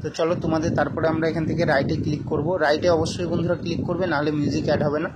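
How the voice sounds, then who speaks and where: A man's voice: fairly high pitch, little pitch movement, very fast, muffled recording. A man giving step-by-step instructions as a tutorial host, addressing viewers directly and repeating himself. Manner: repetitive instructional talk addressing viewers as friends. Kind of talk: spoken step-by-step phone app tutorial. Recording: loud background noise.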